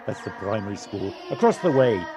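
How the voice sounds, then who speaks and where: An actor playing a friendly, gently teasing countryman who drives and chats in an unhurried way, in an audio play. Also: on the low side, sing-song, music in the background. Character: friendly Welsh countryman, chatty driver fond of hills. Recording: home device recording, light background noise. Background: birdsong.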